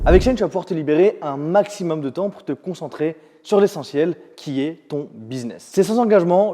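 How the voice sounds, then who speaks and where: A man's voice: fairly high pitch, warm tone, big-room sound. A man hosting an event, reading an upbeat, salesy sponsor message straight to the viewer. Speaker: event host. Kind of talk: sponsor read before a talk.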